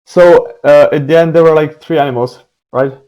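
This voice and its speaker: A man's voice: on the high side, fairly even intonation, moderate pace, warm tone, dull audio. A man from Slovenia in a casual video chat, hesitantly guessing at words and asking for repeats. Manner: hesitant, guessing, laughs often. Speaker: language exchange participant.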